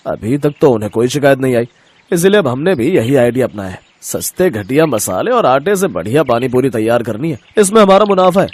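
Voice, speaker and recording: A man's voice: medium pitch, darkish tone, dry recording, close to an everyday voice. A man playing a jealous, greedy shopkeeper brother-in-law in a moral story cartoon, grumbling and justifying himself.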